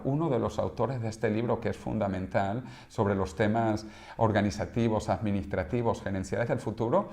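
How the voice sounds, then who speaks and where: A man's voice: medium pitch, darkish tone. A man in his fifties from Venezuela, a guest in an interview, explaining in an emphatic, example-packed way. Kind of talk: conversational interview.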